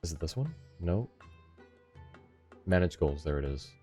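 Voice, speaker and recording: A man with a low voice streams a game and chats casually with his viewers. He sounds a little tired and is polite and appreciative. Faint music plays behind him. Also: lively intonation, a little slow, warm tone. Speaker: live streamer. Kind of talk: casual chat with viewers while gaming.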